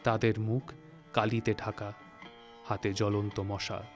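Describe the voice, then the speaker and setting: Male narrator, slightly low. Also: little pitch movement, unhurried. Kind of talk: scripted literary story narration. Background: prominent music.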